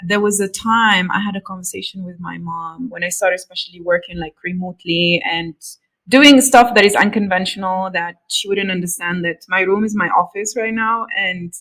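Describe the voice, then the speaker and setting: A woman's voice: on the low side, steady tone, moderate pace, fairly bright tone, poor audio. A woman hosting a podcast, reading an upbeat scripted introduction and then chatting eagerly with her guest. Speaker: podcast host.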